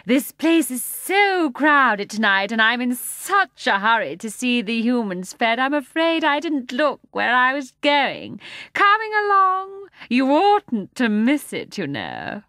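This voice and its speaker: An enormous, kindly male lion, played by a woman reading a storybook. He speaks in a mincing, slow and drawn-out way, a little raised in pitch. The sound is dry and close. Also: sing-song, bright, forceful tone, no room sound, heavily acted. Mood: genial.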